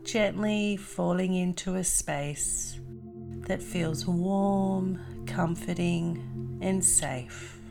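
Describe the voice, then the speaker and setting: Calm lady doing guided meditation, lowish voice, super slow, long pauses. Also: gravelly, music in the background. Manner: calm, soothing, deliberate phrasing with long pauses. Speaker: podcast host and meditation guide.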